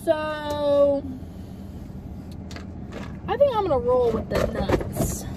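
Young Southern woman, playful car vlogger, high and animated, dashboard camera in a car with noise behind. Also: very slow. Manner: chatty, playful, excitable exclamations. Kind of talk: casual car vlog chat. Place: parked car, indoors. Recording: noisy car with outside ambience.

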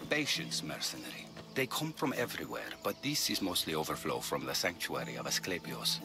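An actor playing a brusque, learned but compassionate ancient Greek doctor in a video game, with a didactic, brisk manner. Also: male voice, rumbling low, sing-song, exaggerated voice. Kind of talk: video game dialogue acting. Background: music.

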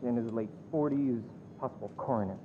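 An actor playing a matter-of-fact morgue worker in a video game. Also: male voice, mid-range voice, brisk, lightly acted. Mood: flat, factual. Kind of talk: video game cutscene acting. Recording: narrow, tinny sound with background noise.

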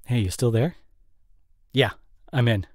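A man's voice: medium pitch, measured pace, a little mellow, no room sound, studio quality, close to an everyday voice. A man playing an evasive, defensive boyfriend with a drinking habit in an audio roleplay, speaking in a hesitant, one-sided conversation. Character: evasive drinking boyfriend, dismissive then guilt-stricken.

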